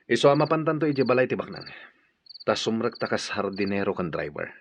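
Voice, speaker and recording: An actor playing a scheming crook in an Ilocano radio drama, talking in a conspiratorial way. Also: male voice, lowish voice, big pitch swings, fairly quick. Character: scheming male crook plotting to rob a rich household. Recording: muffled audio with faint noise.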